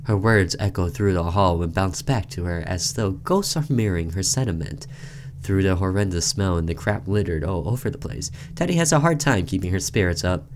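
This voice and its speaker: A low-pitched man hosts a game playthrough, reading the story text aloud. His delivery is deliberate and dramatic, loosened by casual asides. Some background noise sits under the voice. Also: lively intonation. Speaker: gaming video host. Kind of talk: reading visual novel narration aloud with casual commentary.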